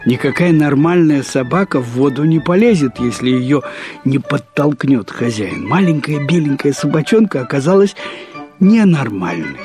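A man narrating a first-person literary short story in a deliberate, wryly humorous manner, with measured pauses and repetitions. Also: fairly high pitch, expressive, a little mellow, soft background music.

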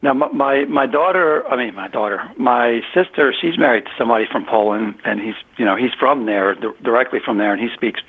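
A man chatting casually as a guest over the phone in an easygoing, halting way. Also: mid-range voice, husky. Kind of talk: casual chat. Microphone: telephone. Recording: tinny, muffled phone line.

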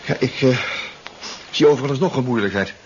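A man's voice: medium pitch, a little fast, a little mellow, lightly acted. A man playing an anxious, hesitant seafaring comrade in a 1970s radio drama, often repeating himself. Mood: wondering, doubtful. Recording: muffled 1970s radio recording, background noise.

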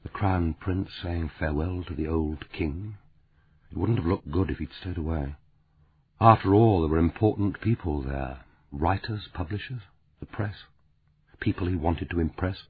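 A man voicing a grieving, bitter young woman of twenty-nine, speaking softly and quietly. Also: deep voice, normal speed, slightly rough, no echo, full character voice. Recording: tinny phone-like sound.

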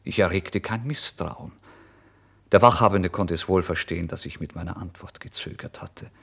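Deep-voiced, theatrical starving writer. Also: male voice, moderate pace, vocal fry, slightly rough, full character voice. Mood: furtive, brazen. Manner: theatrical, swinging from exclamations to hushed whispers. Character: starving, impulsive male writer recounting his misery and brazen lies. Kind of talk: dramatic first-person literary monologue.

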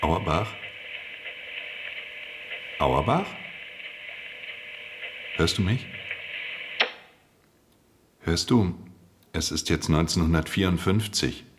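An actor playing a bitter, grieving man in an intimate, halting radio drama monologue. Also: very deep voice.

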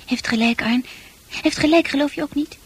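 A woman's voice: sits high, normal speed, natural voice. An actress playing a troubled eighteen-year-old girl in a radio play, hesitant and wistful, guarded but longing to escape. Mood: wistful, melancholy. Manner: hesitant, wistful delivery with repeated words.

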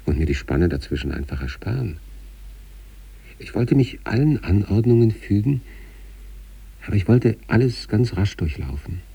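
A man acting an obsessive sanatorium patient in a literary radio monologue, reading out letters to his wife with measured, introspective intensity and a husky, gravelly voice. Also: far from the mic, exaggerated voice. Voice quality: husky, gravelly, dark low voice.

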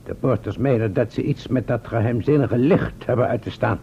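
An actor playing a pompous, wordy town mayor in a radio play, speaking formally. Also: male voice, medium pitch, normal speed, vocal fry, warm tone, lightly acted. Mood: grumbling. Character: pompous, self-pitying, hesitant town mayor. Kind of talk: old Dutch radio play acting. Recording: muffled, noisy old radio recording.

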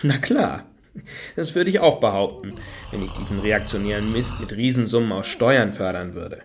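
A man's voice: lowish voice, expressive, moderate pace, a little hiss or hum. An actor in a radio play voicing a wry, skeptical man who makes dry, pointed comments.

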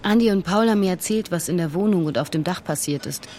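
A woman's voice: fairly low pitch, fast, darkish tone, slight background noise, close to an everyday voice. A young woman playing a regretful, anguished soldier's girlfriend, emotional and insistent, in a radio play. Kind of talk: German radio play acting.

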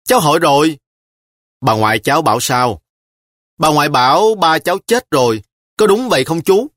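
A man's voice: on the high side, expressive, moderate pace, a bit bright, no room sound, studio quality, pitched above the performer's own voice. A man voicing a stubborn, sulky little girl of about ten who pleads and insists in an audiobook. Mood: pleading. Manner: pleading, insistent child's whine.

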